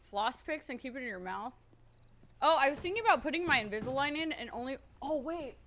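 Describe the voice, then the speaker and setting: A woman streamer chatting loudly and nervously to her viewers, thinking out loud and swearing freely. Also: sits high, animated, fairly quick. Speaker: streamer playing a roleplay character. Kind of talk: casual chat to stream viewers.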